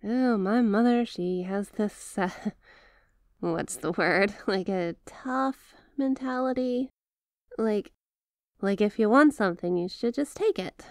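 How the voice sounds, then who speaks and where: A woman playing an apologetic, lovestruck young mafia heiress in an intimate ASMR roleplay, talking in a hesitant, conversational, softly teasing way. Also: mid-range voice, slow, no echo, clean studio sound, lightly acted. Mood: explaining, exasperated.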